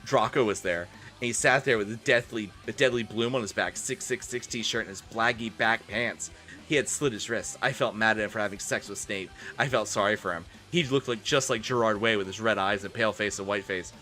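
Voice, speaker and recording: Bright-voiced man giving a theatrical reading. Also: lowish voice, brisk. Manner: theatrical, often breaks off into amused asides. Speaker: streamer doing a dramatic reading. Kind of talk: comic dramatic reading of fan fiction for a live stream. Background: music.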